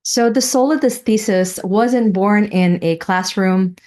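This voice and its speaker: A middle-aged woman giving a prepared personal presentation, telling her story in a reverent, deliberate way. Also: medium pitch, darkish tone. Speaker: student presenting a thesis.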